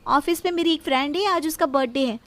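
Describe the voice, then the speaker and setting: A woman's voice: high-pitched, fast talker, a bit bright. A woman playing a resentful, defiant young wife with a sarcastic, complaining manner in a family story. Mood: defensive.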